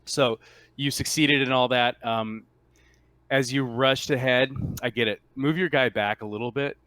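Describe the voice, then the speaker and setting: A man running a casual tabletop roleplaying game as game master, explaining in a halting way with repeats and rephrasing. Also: mid-range voice, steady tone, fairly bright tone, no room sound.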